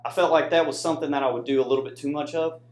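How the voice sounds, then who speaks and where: A man's voice: fairly high pitch, fairly even intonation, racing, a little mellow. A man with an American accent giving an informal presentation to an audience, talking conversationally and often checking in with listeners. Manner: conversational, addresses audience with frequent "right?" tags. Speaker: student presenter, soldier. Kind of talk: informal classroom presentation with slides. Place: indoor room with audience. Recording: echoey room.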